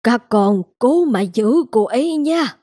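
A woman's voice: fairly high pitch, animated, slow pace, dark tone, slightly rough, no echo, clean studio sound, heavily acted. A woman voicing a kindly, insistent elderly grandmother in a cartoon, advising and reassuring. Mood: pleased, advising. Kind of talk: cartoon dubbing.

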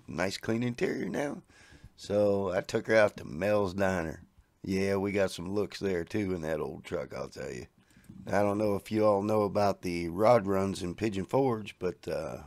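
A middle-aged man talks in a folksy, easygoing way, chuckling at his own jokes. His voice sits low with a gravelly rasp. The recording is dry and close. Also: darkish tone, no room sound. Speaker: garage video host. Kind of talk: casual narration over photos.

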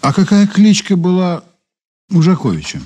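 Casting: probing Soviet investigator, husky, slightly high male voice, animated intonation, formal, radio play. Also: full character voice. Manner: measured, formal, businesslike. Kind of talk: Soviet radio drama acting, part narration.

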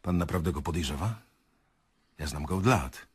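A man's voice: low-pitched, normal speed, husky, no echo. An actor playing an older male theatre director in a radio play, earnest, hesitant and reflective. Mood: protesting.

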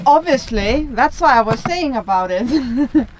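A woman with a fairly high voice chats slowly and cheerfully outdoors on a farm, laughing as she speaks. A faint background noise sits under her voice. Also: low-quality recording. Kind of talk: casual chat outdoors.

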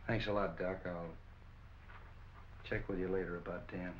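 A middle-aged American actor playing a gruff, curt, hard-boiled police captain in a 1940s film. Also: male voice, sits low, little pitch movement, moderate pace, background noise, lightly acted. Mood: grim, determined. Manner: curt, brusque. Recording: dull, band-limited 1940s film soundtrack.

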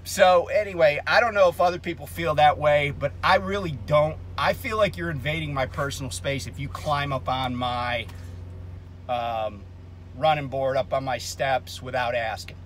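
A man's voice: a little high, normal speed, fairly bright tone, a little hiss or hum. A man in his fifties, a truck driver hosting his own vlog, rambling with many ums in a projecting voice from inside his parked truck cab. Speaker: truck driver vlogger, host. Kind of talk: casual talking-head vlog. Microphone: camera on the dash at arm's length.

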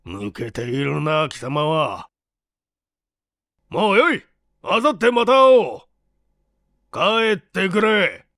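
A male narrator puts on an eccentric, cantankerous old scientist from a mystery audiobook. He is gruff and curt, with a fairly high, very animated pitch and a close, dry sound. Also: takes it slowly, long pauses, dry recording, exaggerated voice. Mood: scornful. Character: cantankerous, eccentric older male professor who scolds. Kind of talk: audiobook character acting in Japanese mystery story.

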